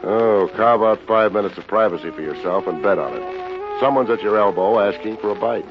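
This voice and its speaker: An actor playing a wisecracking, deadpan private investigator with an American accent, in the style of fifties radio detective drama. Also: male voice, lowish voice, moderate pace, lightly acted. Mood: wry narration. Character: wry, hard-boiled male private investigator. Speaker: radio detective lead and narrator. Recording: dull vintage radio recording, music behind.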